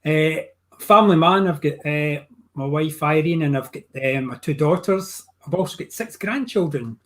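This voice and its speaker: An older man with a Glasgow accent talks over a video call from his home art studio. His voice sits a little high for a man. He chats in a rambling, easygoing way, often restarting his sentences. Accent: Glaswegian. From Scotland. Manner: chatty, rambling with many restarts. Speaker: painting teacher. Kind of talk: casual video call chat.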